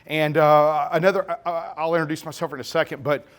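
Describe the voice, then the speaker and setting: Slightly high-pitched drawling Texan man. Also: fairly even intonation, a little fast. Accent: Texas-Oklahoma blend. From Texas. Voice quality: slurry drawl. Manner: joking, teasing, sarcastic, chatty. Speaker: nature photographer giving a keynote talk. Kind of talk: live presentation to an audience. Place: camera store event room with audience.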